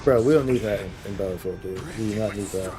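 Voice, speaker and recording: Casual male game streamer, mellow tone, slangy and repetitive. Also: fairly low pitch, fast talker, soft background music. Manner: slangy, bro-heavy, repeats phrases for emphasis. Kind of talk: casual livestream chat while gaming.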